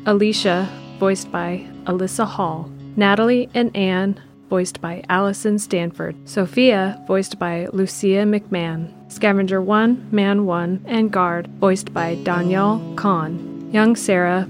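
A woman reading scripted narration for an audio drama as its narrator. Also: medium pitch. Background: music.

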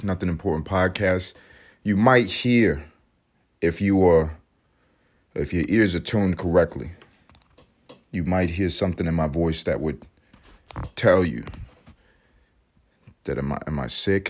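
A man in his thirties from New York City, hoarse and hungover, hosting a casual solo podcast in a rambling, profane way with emphatic repeats. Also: low voice, a little slow, pauses often. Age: 30s. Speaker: podcast host.

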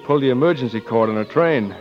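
An actor playing a romantic, breezy American flyer who talks in a wry, coaxing way in a 1940s radio drama. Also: male voice, medium pitch, expressive, quick pace, over music, natural voice. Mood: tender. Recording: muffled, noisy 1940s radio broadcast.

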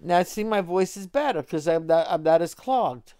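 A woman's voice: lowish voice, lively intonation, normal speed, dark tone. An older woman with a stuffy, congested nose, chatting casually as co-host and giving blunt, short answers. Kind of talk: casual chat.

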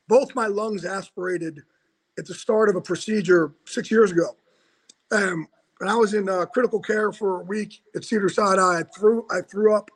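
A raspy-voiced man with a fairly high pitch, joining an interview remotely from home. He talks loosely and quickly, full of "like" and "you know," in a casual back-and-forth. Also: fairly bright tone, rough recording. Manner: loose and quick, with lots of fillers and "like". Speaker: interview guest, football insider.

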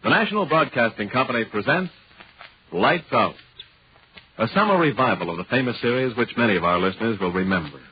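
A man's voice: mid-range voice, expressive, brisk, slightly rough. A man giving a formal announcement as a 1940s radio network announcer. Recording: muffled old 1940s radio recording.

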